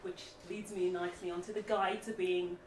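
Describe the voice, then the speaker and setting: A woman's voice: fairly low pitch, level tone, moderate pace, darkish tone. A woman interviewer asking questions in light, chatty style. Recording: echoey, distant from mic, faint noise.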